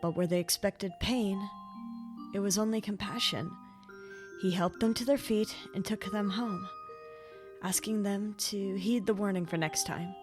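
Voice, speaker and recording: Storyteller character played by a woman, mid-pitched, gently teasing and self-deprecating, soft-hearted fairy-tale delivery over music. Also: steady tone, a little slow, close to an everyday voice. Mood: solemn storytelling. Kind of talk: character voice pack, conversational then fairy-tale narration. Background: background music.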